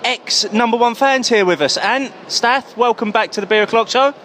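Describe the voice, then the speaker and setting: A man's voice: very high voice, normal speed, a bit bright, a little hiss or hum. A man co-hosting a podcast clip at an outdoor beer festival, upbeat and stammering. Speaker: podcast co-host. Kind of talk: casual chat.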